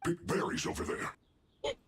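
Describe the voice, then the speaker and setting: A man voicing a hapless, aggrieved foot soldier in a video game, protesting in a whiny, halting, indignant way. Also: fairly bright tone, close to an everyday voice. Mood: reluctant. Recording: echoey sound, faint game music behind. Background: game music.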